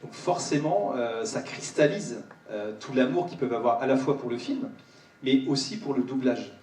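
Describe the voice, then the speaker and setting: A man speaking off the cuff at a live panel, halting and repeating his words as he goes. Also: medium pitch, a little mellow. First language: French. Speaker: host of a panel discussion. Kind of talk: spontaneous spoken remarks at a live panel. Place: large cinema auditorium. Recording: echoey hall, distant microphone.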